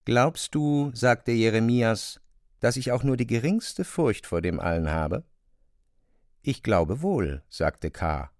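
An actor giving dramatised literary narration, a storyteller who also voices a weary, defiant servant. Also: male voice, medium pitch, animated, slow, no echo, clean studio sound.

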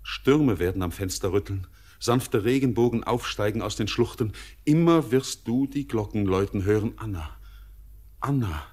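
An actor playing a restless young miner who speaks in a brooding, hushed way in a classic radio play. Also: male voice, on the low side, moderate pace, natural voice. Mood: haunted.

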